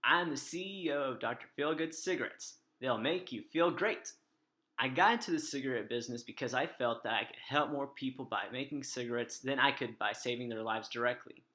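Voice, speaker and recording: Male actor as smug physician-salesman for a radio comedy ad, earnest patter, mid pitch, forward bright tone. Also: brisk, close to an everyday voice. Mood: earnest selling. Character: smug male doctor turned cigarette company boss. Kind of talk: spoof old-time radio advertisement.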